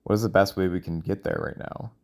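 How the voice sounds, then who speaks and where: A man speaking in a casual, rambling monologue, thinking out loud in a halting, self-questioning way. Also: deep voice, steady tone. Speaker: solo audio log creator.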